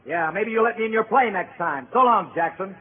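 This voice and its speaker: A man's voice: high-pitched, a little fast, natural voice. A man playing a brash, cocky rival gunslinger in a 1940s radio comedy. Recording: noisy tinny old radio broadcast.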